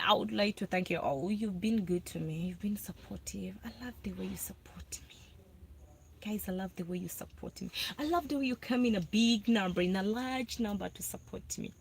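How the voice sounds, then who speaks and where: A woman's voice: medium pitch, creaky, breathy edge. A young Ugandan woman hosting a casual live video about breastfeeding. She talks to her viewers, answers their comments and repeats herself a lot for emphasis. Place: parked car.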